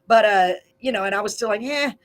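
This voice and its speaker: A woman's voice: mid-range voice, steady tone, fast talker. A woman hosting a casual live radio chat, talking enthusiastically and repeating phrases for emphasis. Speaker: live radio show host and amateur songwriter. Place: small local radio studio.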